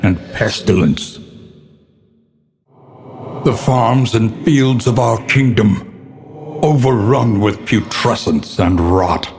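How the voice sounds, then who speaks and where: An actor playing a grim, solemn narrator of a doomed gothic world in a video game, speaking in a theatrical, ominous, measured way. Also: male voice, lowish voice, gravelly, darkish tone, slight background noise, exaggerated voice. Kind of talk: dramatic scripted game narration. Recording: long cavernous reverb.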